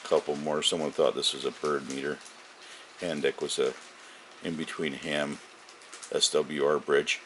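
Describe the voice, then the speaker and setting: A man's voice: extremely low pitch, little pitch movement, unhurried, pauses often, a bit bright. A man giving a casual, unscripted show-and-tell as a radio hobbyist, plain-spoken and rambling, often correcting himself. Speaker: CB and ham radio hobbyist showing off a collection. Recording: very noisy home-video sound with hiss.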